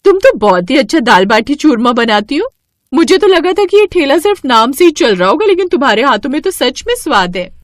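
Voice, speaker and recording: A woman's voice: high voice, big pitch swings, a little fast, no echo, studio quality, pitched above the performer's own voice. A woman voicing a kind, frank mother who is a customer in a moral story. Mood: impressed.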